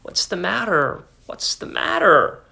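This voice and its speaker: A man's voice: high voice, huge pitch swings, a little slow, vocal fry, pushed and bright, voice pushed up, heavily acted. A man voicing a timid, eager-to-please young clerk who stammers when flustered, in a dramatic reading. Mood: anxious.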